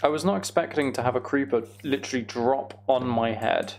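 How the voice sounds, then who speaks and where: Male game streamer, British, gravelly fry in an average-pitched voice, offhand running commentary with dry asides. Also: brisk, a little mellow, slight background noise. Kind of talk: casual Minecraft playthrough commentary, chatting with viewers.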